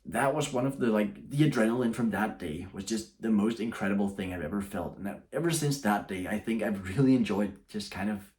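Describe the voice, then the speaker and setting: A young man speaks casually to camera in a deep, low voice. He rambles, often breaking off and restarting his sentences as he shares his thoughts. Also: fairly quick, few pauses, darkish tone. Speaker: YouTuber.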